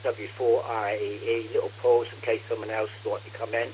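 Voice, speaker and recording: A man's voice: lowish voice, little pitch movement, normal speed. A man chatting politely and casually as an amateur radio operator over a radio contact. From England. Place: radio transmission. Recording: muffled radio link with humming noise.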